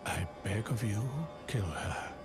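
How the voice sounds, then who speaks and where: A man's voice: on the low side, takes it slowly, over music. A man playing a fawning, scheming scholar in a video game, obsequious and theatrical, with hesitant politeness and sinister devotion. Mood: sinister pleading. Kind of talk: video game character acting.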